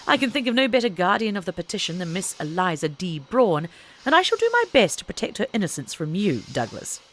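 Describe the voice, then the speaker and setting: A woman's voice: medium pitch, big pitch swings, brisk. A woman narrator voicing a confident older mother in an audiobook story, with an assured, teasing, matronly manner. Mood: brisk, teasing. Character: brisk, confident older mother, a women's suffrage campaigner.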